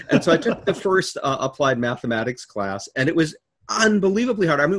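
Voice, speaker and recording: A man in his sixties giving a halting, storytelling answer in an informal virtual interview, with many fillers and the odd laugh. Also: medium pitch, breathy edge. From United States. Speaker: keynote speaker. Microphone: video call.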